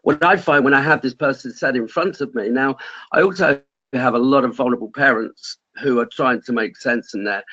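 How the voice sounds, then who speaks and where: A man's voice: mid-range voice, fairly bright tone, breathy edge. A man explaining his work on a conference call in a plain-spoken, rambling, colloquial way. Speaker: caseworker with vulnerable clients. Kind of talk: explanatory casual talk on a call. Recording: dull, lo-fi conference call audio.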